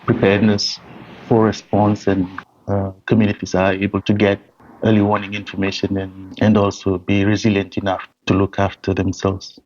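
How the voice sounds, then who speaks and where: A man's voice: fairly low pitch, completely flat delivery, normal speed, darkish tone. A man from Fiji, a humanitarian guest, talking in a remote interview over a video call, hesitant and often restarting. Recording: dull lo-fi call audio.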